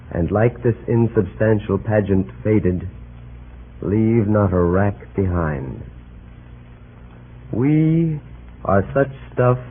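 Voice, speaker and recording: An actor reciting dreamlike Shakespearean verse in a grave, drawn-out, declamatory radio style. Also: male voice, fairly low pitch, husky, full character voice. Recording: old radio, narrow phone-like sound.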